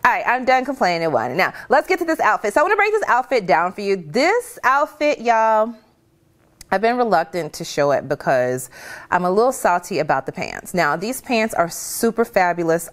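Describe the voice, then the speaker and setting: A woman in her thirties vlogging casually about an outfit from her kitchen at home, chatty and animated, calling her viewers y'all. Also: medium pitch, normal speed. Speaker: fashion vlogger. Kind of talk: casual fashion vlog talk.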